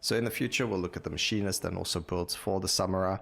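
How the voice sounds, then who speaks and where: A deep-voiced man hosts a gameplay video in a casual way. His voice has a lot of creak and fry in it. He thinks aloud as he reads through stats and details on the screen. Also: steady tone, quick pace, fairly bright tone. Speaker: gaming YouTuber host.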